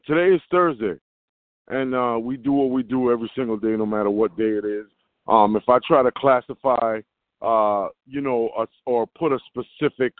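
A man's voice: medium pitch, dry recording. A man sharing at a recovery meeting, blunt and emphatic, with a big plain-spoken voice. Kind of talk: personal recovery share. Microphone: phone call. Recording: tinny phone line.

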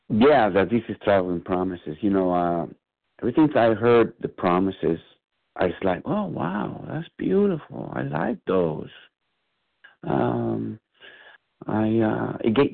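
A man, sharing at a phone support meeting in a halting, exclamatory way. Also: mid-range voice, vocal fry, breathy edge. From Cuba. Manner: halting, animated, exclaims often. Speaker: meeting participant. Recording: phone line.

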